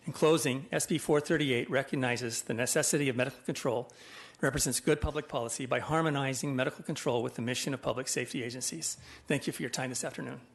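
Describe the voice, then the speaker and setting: A man delivers prepared testimony at a committee hearing. His mid-pitched voice is measured and formal, as if reading from a written statement. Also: vocal fry. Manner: measured, formal, abstract wording. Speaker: witness testifying before a legislative committee.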